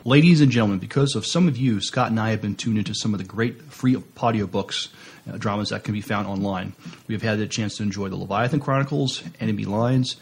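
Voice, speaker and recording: Fast-talking, husky male podcast host. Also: lowish voice. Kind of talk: podcast interview with formal introductions.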